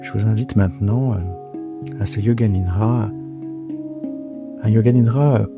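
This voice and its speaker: A man guiding a yoga nidra meditation for sleep in a soft, breathy, soothing and hushed voice, with unhurried phrasing and long pauses. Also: fairly low pitch. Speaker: yoga nidra guide and podcast host. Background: soft plucked guitar music.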